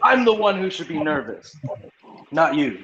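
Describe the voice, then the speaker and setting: Loud, upbeat man teaching an online class. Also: fairly high pitch, moderate pace, background noise. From California, USA. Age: 30s. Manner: upbeat, repeats "okay?" and "all right?" to check in; loud and energetic. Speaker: English teacher. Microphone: computer microphone on video call. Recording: lo-fi echoey online call audio.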